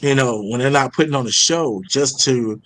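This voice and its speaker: A man's voice: mid-range voice, normal speed, a bit bright, low-quality recording. A man hosting a casual podcast, laid-back and slangy, often repeating words as he gets a sentence going. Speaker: podcast host.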